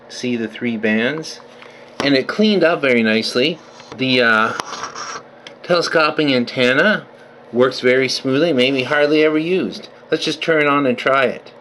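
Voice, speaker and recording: Male hobbyist presenter, casual and conversational, mid-pitched voice, faint noise behind him. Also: a little slow, a bit bright. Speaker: vintage radio collector presenting his restoration. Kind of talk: casual unscripted video demonstration.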